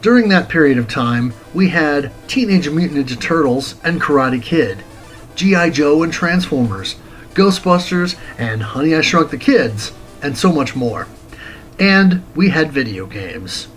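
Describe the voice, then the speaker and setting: A man of forty reading a scripted retro game review as host, in a deliberate, articulate, wordy way. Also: on the high side, big pitch swings, low-quality recording. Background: music.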